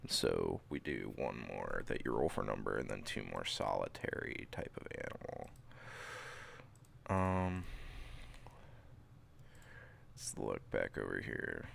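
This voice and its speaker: A man's voice: rumbling low, vocal fry, fairly bright tone, slight background noise. A sniffly man in his thirties, thinking aloud as he plans a tabletop game setting live from a small home room. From St. Louis area, USA. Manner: rambling, thinking aloud, self-interrupting. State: sniffly from a cold. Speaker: streamer. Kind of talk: casual livestream worldbuilding. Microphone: desk microphone in front, webcam.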